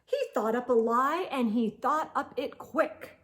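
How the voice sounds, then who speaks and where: High-voiced, unhurried woman storyteller. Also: fairly bright tone. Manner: dramatic, rhythmic, storyteller emphasis. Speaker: children's storytime host. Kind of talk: expressive read-aloud of a rhyming picture book.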